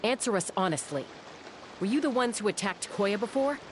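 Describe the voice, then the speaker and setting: A woman's voice: mid-range voice, lively intonation, a little fast, a little hiss or hum, lightly acted. A woman voicing a tough, blunt young peacekeeper in a video game, speaking in a stern, wry, interrogating manner. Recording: game music behind the voice.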